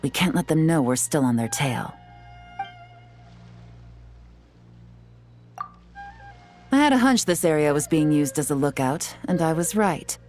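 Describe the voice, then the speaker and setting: Low-voiced, composed female agent. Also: animated, fairly quick, darkish tone, soft background music. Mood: composed, analytical. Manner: composed, instructive, assured. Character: cool, shrewd adult female intelligence agent, confident and composed. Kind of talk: video game voice acting.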